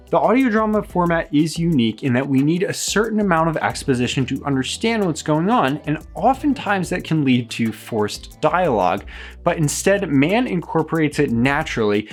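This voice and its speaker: A man with a fairly high voice delivers a scripted review. His intonation swings widely and he stresses his points with enthusiasm, like a show's host. Music plays underneath him. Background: background music.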